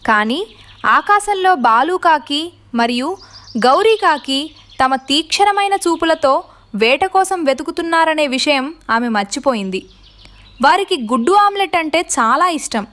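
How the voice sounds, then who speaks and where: A woman's voice: high voice, animated, moderate pace, a bit bright. A woman narrating a scripted story for children.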